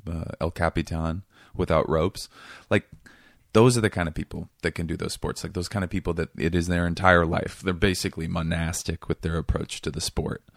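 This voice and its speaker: A man from Seattle, a comedian guest in casual interview chat, talking in a rambling, self-deprecating way with a voice that is getting raspy. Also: low voice, fairly even intonation, brisk, no echo, studio quality. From Seattle area, USA.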